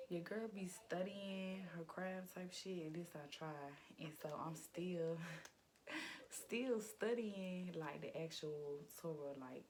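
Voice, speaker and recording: Chill young woman rambling thru a card reading, kinda raspy, low voice, hissy phone mic. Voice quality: slightly raspy. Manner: laid-back, rambling, slangy, laughs often. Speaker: tarot reader. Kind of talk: casual chat. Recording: noisy background hiss.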